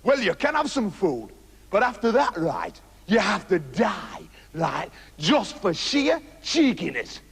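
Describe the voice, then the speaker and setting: A man playing a slow, menacing robber chief. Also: high voice, wildly swooping pitch, creaky, a bit bright, husky, in a large hall, heavily acted. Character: big-bellied menacing male robber.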